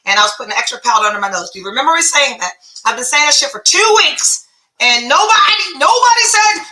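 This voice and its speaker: A woman's voice: fairly high pitch, sharp tone, roomy sound, rough recording. A woman podcast host telling a story in a casual monologue, blunt and profane, sounding congested with phlegm.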